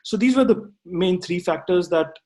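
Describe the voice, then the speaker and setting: A man in his thirties talks through a webinar as an MBA graduate. His voice sits a bit higher than most men's, and he often stalls on "uh" and restarts his phrases while he explains. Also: normal speed, darkish tone. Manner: hesitant, with frequent "uh" fillers and restarts. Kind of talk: webinar talk answering questions.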